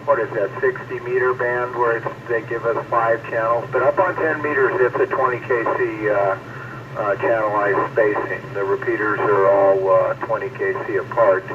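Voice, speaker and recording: A man's voice: lowish voice, fairly even intonation, normal speed, far from the mic. A man in casual technical chat over two-way radio, explaining things hesitantly as a hobbyist. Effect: two-way radio transmission. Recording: dull, noisy two-way radio transmission.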